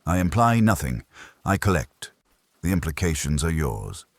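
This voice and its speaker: A man playing an imperious older detective in an audiobook mystery, speaking in a measured, formal, pompous way. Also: rumbling low, big pitch swings, breathy edge, no echo, exaggerated voice. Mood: stern, deliberate.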